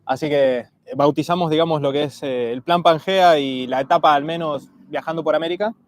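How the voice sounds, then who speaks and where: A man's voice: fairly high pitch, brisk. An Argentine-born man raised in Galicia, in his thirties, with an accent that blends Argentine and Spanish, chatting easily on a travel livestream. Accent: Argentine mixed with Spanish. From Argentina, raised in Galicia from age 14. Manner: laid-back, uses Argentine slang. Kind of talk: casual livestream chat.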